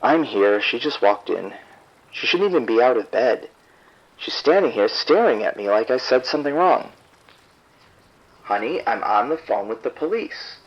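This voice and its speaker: A man's voice: medium pitch, lively intonation, normal speed, breathy edge. An actor playing an irritated, proud husband and father who speaks curtly and defensively to the police over the phone. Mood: exasperated. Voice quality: curt, defensive. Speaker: caller. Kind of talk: audio drama acting. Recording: dull phone line.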